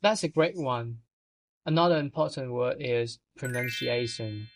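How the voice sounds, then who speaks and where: A man's voice: medium pitch, a little slow, a little hiss or hum. Man co-hosting a vocabulary lesson. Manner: reads definitions with small stumbles. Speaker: podcast co-host.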